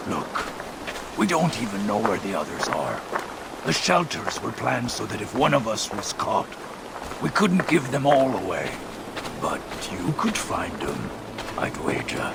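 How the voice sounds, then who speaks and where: A man playing a gruff, pragmatic dwarf rebel leader in a video game, talking in a plain, folksy, wry way. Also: on the low side, huge pitch swings, unhurried, long pauses, heavy background noise, full character voice. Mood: weary, frank. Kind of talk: video game cutscene acting.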